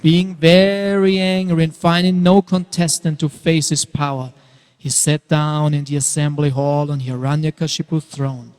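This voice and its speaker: A man narrating a dramatic scripture reading in a theatrical, emphatic way, swelling and hushing for effect. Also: a little high, fairly even intonation, a little slow. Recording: long reverberant hall echo.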